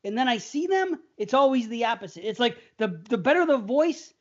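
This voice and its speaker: A man's voice: very high-pitched, big pitch swings, brisk, highs cut off. A man co-hosting a casual horror podcast over a remote call, rambling and stammering as he talks. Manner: rambling, stammering, laughs often. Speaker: podcast co-host. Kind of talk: casual banter.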